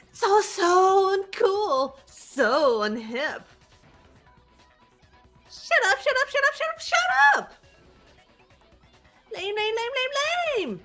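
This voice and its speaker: A woman's voice: very high-pitched, a bit bright, voice pushed up, exaggerated voice. A woman voicing a manic teenage girl mastermind villain from a video game, theatrical, mocking and gushing. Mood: scornful, then shrieking tantrum. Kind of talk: fan voice acting of a video game script.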